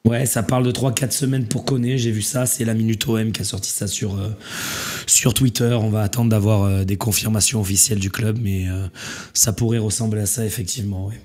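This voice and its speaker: Low-voiced man with a failing voice. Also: brisk. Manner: relaxed, rambling, many fillers. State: losing his voice, has a cold. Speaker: host. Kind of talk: casual call-in chat.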